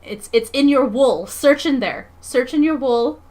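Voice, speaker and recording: A woman livestreamer chatting casually with her viewers in a playful, expressive, giggly manner. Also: sits high, unhurried. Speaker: live streamer and comics artist.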